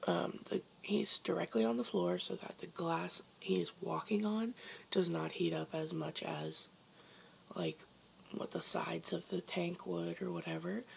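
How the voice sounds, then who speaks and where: A woman vlogger with a hoarse voice, chatting casually and rambling with lots of ums from her basement bedroom. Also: lowish voice, a little hiss or hum. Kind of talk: casual chat to camera.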